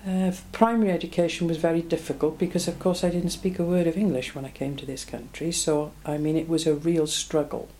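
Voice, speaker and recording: Woman, 70s, Belgian-born, low mellow voice, reflective oral history interviewee. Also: fairly even intonation, a little fast, few pauses, slight background noise. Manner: reflective, plain-spoken, frequent ums.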